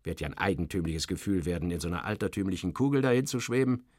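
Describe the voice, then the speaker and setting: A man narrating satirical first-person literary prose in a wry, expressive way with clipped phrasing. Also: fairly low pitch, no room sound, studio quality.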